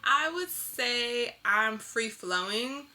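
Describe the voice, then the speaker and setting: A woman's voice: on the high side, expressive, drawn-out words, a crowd in the background. A woman being interviewed, answering casually in a candid, reflective and informal storytelling way. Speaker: interviewed artist.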